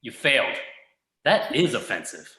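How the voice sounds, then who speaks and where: A man's voice: mid-range voice, big pitch swings, pushed and bright, reverberant, rough recording. A man playing a smug, condescending young yuppie boss in a comedy table read. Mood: mocking. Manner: condescending, drawn-out delivery.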